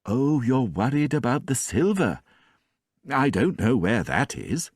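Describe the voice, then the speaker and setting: A man voicing a gentle, forgiving old priest who speaks softly and warmly. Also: medium pitch, big pitch swings, slightly rough, no room sound, clean studio sound, voice pushed up, full character voice. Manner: soft, warm, unhurried.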